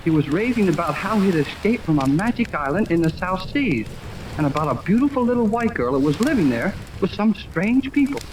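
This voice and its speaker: A man's voice: high-pitched, big pitch swings, brisk. A young man playing an eager, indignant teenage boy with slangy, earnest talk in a 1930s radio serial. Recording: noisy, distant 1930s radio recording, rain behind.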